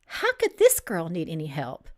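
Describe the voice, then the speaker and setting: A woman's voice: low voice, wildly swooping pitch, normal speed, darkish tone, voice pushed down. A woman voicing a skeptical female trainee angel in an audiobook, blunt and questioning. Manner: questioning, blurting.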